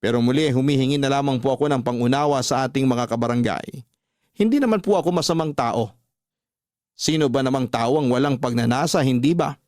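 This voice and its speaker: A man's voice: medium pitch, a little mellow, dry recording, studio quality. A man with a Filipino accent, a radio host reading listeners' letters as earnest, sentimental, dramatic narration. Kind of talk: dramatic scripted narration of love-story letters. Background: music bed.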